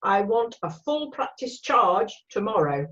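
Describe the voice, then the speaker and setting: A woman playing a confident, brisk male cavalry major in an amateur screenplay read-through. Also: medium pitch, big pitch swings, fairly bright tone, dull audio. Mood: commanding.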